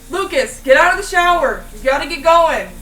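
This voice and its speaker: High, distant fussing mother, played by a woman. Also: animated, a little fast, bright, forceful tone, faint music behind, heavily acted. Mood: urging. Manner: bossy, teasing. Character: fussing, teasing, affectionate mother. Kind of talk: audio drama acting. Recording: roomy, off-mic from across the room.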